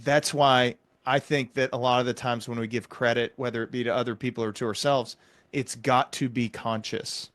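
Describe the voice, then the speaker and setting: A man's voice: mid-range voice, steady tone, moderate pace, a bit bright, no echo. A man hosting a podcast interview, talking in a reflective, explanatory and encouraging way.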